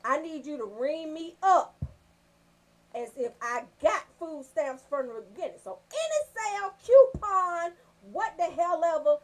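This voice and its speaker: A woman with a Southern US accent telling comedic stories as a radio host, brash, animated and freely swearing. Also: high-pitched, a little slow. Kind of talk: comedic storytelling on a casual talk show.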